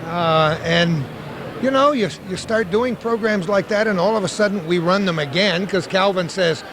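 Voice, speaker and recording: Chatty high-voiced man hosting at a crowded expo. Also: normal speed, crowd noise, low-quality recording. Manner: chatty, jovial, laughs often. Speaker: local TV host. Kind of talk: casual on-location interview. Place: busy indoor business expo.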